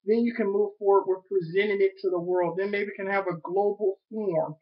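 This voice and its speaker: A young man talking earnestly and repetitively to his webcam from a small room at home, pitching an idea. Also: fairly even intonation, brisk. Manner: earnest, repetitive, self-promoting. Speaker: vlogger. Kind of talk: rambling webcam monologue. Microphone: webcam at desk. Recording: dull lo-fi webcam audio.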